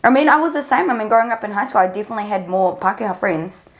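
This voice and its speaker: A woman's voice: medium pitch, lively intonation, very fast. A young woman, co-hosting a podcast and talking in a thoughtful, analytical way. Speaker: podcast co-host. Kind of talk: casual conversation.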